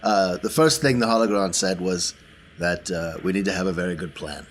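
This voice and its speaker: A man playing a tabletop roleplaying game, talking through plans casually in a halting, deliberate way. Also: low-pitched, slight background noise.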